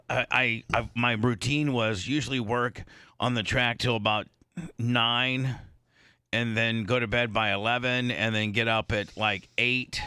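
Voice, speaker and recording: A man hosting a morning radio show, talking casually in halting, rambling bursts with many restarts, sounding a bit congested. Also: on the low side, pushed and bright, smooth, no room sound, clean studio sound. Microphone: studio microphone.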